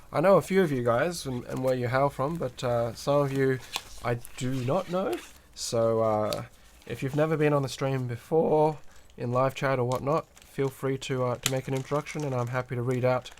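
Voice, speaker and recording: A man's voice: mid-range voice, normal speed, a little hiss or hum. A man hosting a livestream with a cold and sore throat, sounding nasal as he chats casually, greets viewers and reads out the chat while he builds a kit. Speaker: livestream host.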